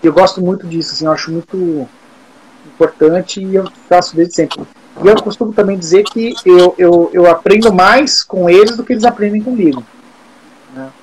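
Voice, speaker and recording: A Brazilian man in his forties, a music teacher, talking in a relaxed, thoughtful way with many fillers and restarts. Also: sits high, normal speed, slight background noise, rough recording. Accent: Brazilian Portuguese. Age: 40s. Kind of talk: casual interview talk.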